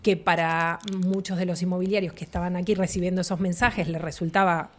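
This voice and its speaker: A woman's voice: lowish voice, fairly quick. A woman from Argentina with an Argentine accent, a relocation agent giving a fluent, explanatory radio interview. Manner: fluent, explanatory, animated asides.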